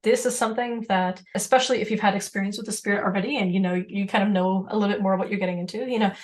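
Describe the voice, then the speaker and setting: A woman co-hosts a casual podcast discussion. She talks quickly and at length, at a middling pitch, and laughs often as she goes. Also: steady tone. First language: Serbian. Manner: rapid, talkative, laughs often. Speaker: podcast co-host.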